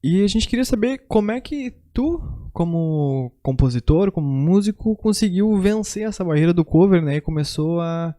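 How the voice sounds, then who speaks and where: Mellow, dark-voiced southern Brazilian man. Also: high voice, animated, moderate pace, hardly any pauses. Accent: Brazilian Portuguese, southern gaúcho. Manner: informal, loose and rambling with frequent tags. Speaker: podcast host. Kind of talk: casual chat.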